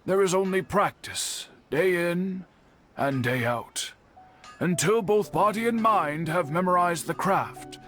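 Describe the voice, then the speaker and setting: An actor playing an older, patient master swordsmith in a game, speaking in a measured, reflective and dignified way. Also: male voice, high-pitched, fairly bright tone. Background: game music.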